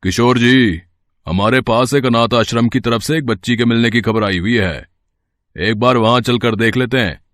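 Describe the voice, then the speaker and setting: A man's voice: mid-range voice, moderate pace, a bit bright, dry recording, clean studio sound, lightly acted. A man playing a courteous police inspector in a cartoon moral story, formal and businesslike. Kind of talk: animated moral story acting.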